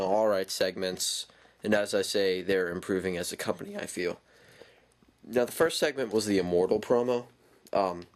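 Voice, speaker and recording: A man with a cold, talking through a casual wrestling show review with lots of ums and self-corrections. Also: fairly low pitch. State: stuffy from a cold.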